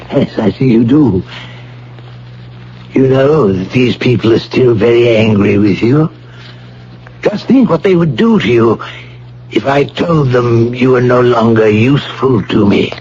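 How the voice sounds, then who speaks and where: An actor playing a suave, condescending, menacing art thief and schemer in a radio drama. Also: male voice, mid-range voice, lively intonation, slow pace, long pauses, a little mellow. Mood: cruel, threatening. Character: smooth, menacing self-confessed male art thief and schemer. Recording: dull old radio broadcast with hum.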